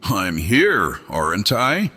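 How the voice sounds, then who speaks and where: A man's voice: lowish voice, wildly swooping pitch, takes it slowly, bright, forceful tone, husky, exaggerated voice. A man playing an arrogant, defiant noble duelist in a fantasy audio drama, theatrical and scornful. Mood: scornful bravado. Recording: long hall reverb.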